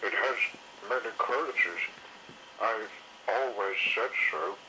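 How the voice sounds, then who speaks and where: A man's voice: fairly low pitch, takes it slowly. A man voicing a grumpy, pompous old male dinosaur who blusters. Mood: blustering. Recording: noisy recording.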